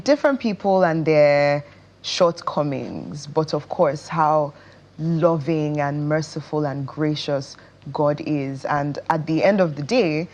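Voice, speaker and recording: Low, slow-paced Nigerian woman. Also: darkish tone, lo-fi sound. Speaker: interview guest, stage actress. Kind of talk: casual promotional interview.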